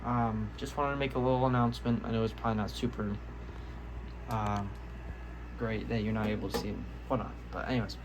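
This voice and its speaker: A man's voice: on the low side, fairly even intonation. Rambling man, noisy casual recording. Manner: rambling, halting, self-correcting. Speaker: hobbyist video maker. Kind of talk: casual show-and-tell vlog. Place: indoors, room with audio gear.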